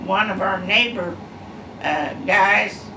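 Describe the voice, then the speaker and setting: An elderly woman in her nineties, sitting at home and slowly telling family stories with many pauses and uhs. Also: mid-range voice. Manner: slow, rambling storytelling with many uhs. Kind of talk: casual reminiscing. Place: living room at home, seated in armchair. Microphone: camera across the room. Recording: echoey room, far camera, background hum.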